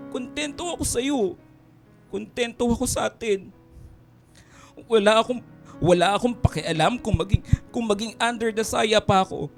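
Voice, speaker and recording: A male actor playing an insecure, devoted boyfriend in a radio drama, halting and repeating himself with emotion over music. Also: very high voice, creaky, full character voice. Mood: pleading, emotional. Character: insecure, devoted boyfriend tearfully pleading his love. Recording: music under the voice.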